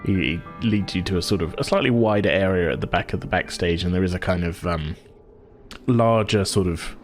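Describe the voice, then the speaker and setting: Low-voiced, halting male game master. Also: soft background music. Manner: halting, searching for words. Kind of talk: improvised tabletop game narration.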